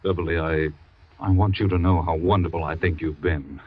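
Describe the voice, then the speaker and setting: A man's voice: sits low, steady tone, fairly quick, breathy edge. An actor playing a man accused of poisoning his missing wife, sounding flustered and defensive in old-time radio drama acting. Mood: tender. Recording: dull dry old radio recording.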